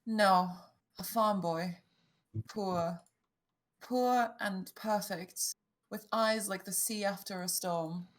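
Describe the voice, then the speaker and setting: A woman's voice: medium pitch, slow, lightly acted. A woman playing a proud, grieving young noblewoman, haughty and bitterly defiant, in a fairy-tale table read. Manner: haughty, wounded, intense.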